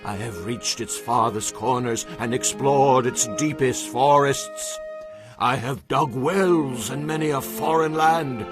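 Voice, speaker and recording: A stern, solemn prophet acted by a man pronounces divine judgment in an audio Bible drama. He speaks slowly and emphatically in a declamatory manner, with music behind him. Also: mid-range voice, lightly acted. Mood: indignant. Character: stern male prophet declaring God's wrath and promises.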